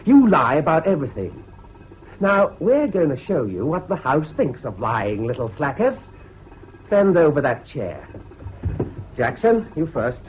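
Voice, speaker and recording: An actor playing a bullying, contemptuous school prefect with an English public-school accent, barking orders in a radio drama. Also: male voice, a little high, very animated, a little slow, muffled recording, soft background music. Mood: scornful, commanding. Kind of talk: 1970s BBC radio drama acting. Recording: faint hiss of old radio broadcast.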